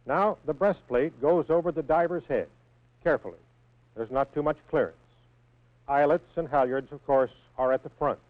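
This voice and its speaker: A man's voice: a little high, no echo. Tinny old training-film narrator, man. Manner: measured, precise, instructional. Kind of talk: scripted instructional narration. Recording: tinny band-limited old film soundtrack.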